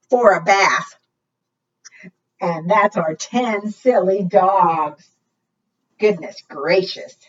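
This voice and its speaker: A woman's voice: on the low side, breathy edge. A woman hosting a preschool storytime, reading aloud in a sing-song way and putting questions to her young listeners. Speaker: children's librarian and storytime host. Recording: dull, lo-fi sound, distant mic.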